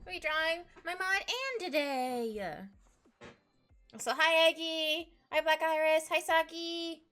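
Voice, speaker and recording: A woman's voice: very high voice, takes it slowly, fairly bright tone. A woman chatting casually on an art livestream, bubbly and giggly, rambling through asides with a slightly stuffy nose. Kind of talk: casual livestream chat while drawing. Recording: music behind the voice. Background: background music.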